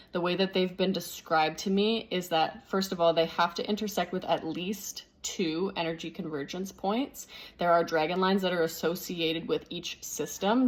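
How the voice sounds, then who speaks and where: A woman speaking as a spiritual teacher, explaining things unscripted and thinking aloud in measured phrases. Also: on the low side.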